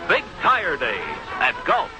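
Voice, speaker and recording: A man reading a scripted sales pitch as a 1960s radio commercial announcer. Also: huge pitch swings, takes it slowly. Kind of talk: 1960s radio advertisement read. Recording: vintage radio commercial over music. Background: loud music.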